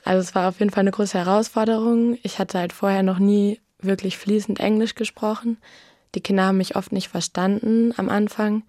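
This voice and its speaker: A woman's voice: mid-range voice, steady tone, a little fast, dark tone, dry recording, clean studio sound. A young woman in her twenties, a student and former volunteer, answering interview questions in a plain, casual way. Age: 20s.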